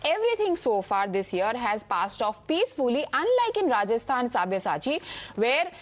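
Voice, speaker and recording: A woman's voice: on the high side, big pitch swings, normal speed, lo-fi sound. Pointed Indian woman news anchor. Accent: Indian English. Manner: pointed, argumentative delivery. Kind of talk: television news presenting. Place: TV studio.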